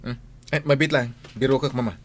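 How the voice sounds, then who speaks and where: An actor playing a reluctant, forgetful grown son in an Ilocano radio drama. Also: male voice, medium pitch, fairly even intonation, a little fast, natural voice. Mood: casual.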